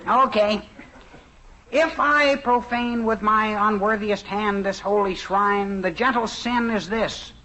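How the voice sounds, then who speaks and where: A man's voice: sits high, takes it slowly, pushed and bright, heavily acted, low-quality recording. A young man playing a polite, earnest teenage boy in a 1950s radio sitcom, deferential and a little stiff. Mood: polite, eager.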